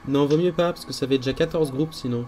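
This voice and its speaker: A man's voice: mid-range voice, quick pace, a little mellow, faint music behind. A man running a tabletop role-playing game as game master, often hesitating and repeating words while he thinks.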